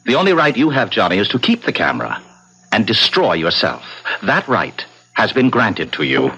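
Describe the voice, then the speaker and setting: An actor playing a sly, insistent bargainer in a formal, theatrical manner, in 1970s radio drama style. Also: male voice, on the low side, huge pitch swings, moderate pace, pushed and bright, breathy edge, exaggerated voice, rough recording. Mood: smug, triumphant. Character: sly, insistent bargainer pressing solemn promises, smugly menacing. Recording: old radio broadcast recording.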